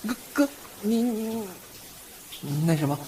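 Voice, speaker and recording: A man's voice: sits high, expressive, slow, a little hiss or hum. A man acting a bashful, cheeky young man who stammers and gets flustered with his older boyfriend in a Chinese audio drama. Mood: flustered, protesting. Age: young adult. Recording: loud background music.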